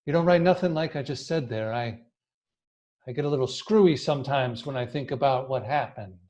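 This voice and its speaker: An actor playing a tough, touchy jailed gangster in a radio drama, slangy, defensive and volatile, over dull lo-fi audio. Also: male voice, medium pitch, fairly quick, darkish tone, natural voice. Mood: backpedaling. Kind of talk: old-time radio drama acting. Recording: dull lo-fi live-stream audio.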